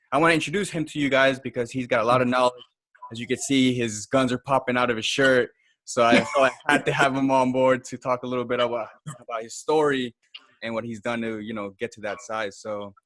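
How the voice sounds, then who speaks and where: A man's voice: medium pitch, fairly bright tone. A man hosting a podcast, introducing his guest and asking short, joking questions. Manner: friendly, joking asides, short prompts. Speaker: podcast host. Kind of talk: casual podcast interviewing.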